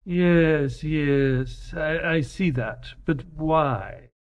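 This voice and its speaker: A man's voice: a little high, drawn-out words. A man playing a wry, proper and exasperated detective's companion, acting and narrating in an audio drama. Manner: polite, wry, precise.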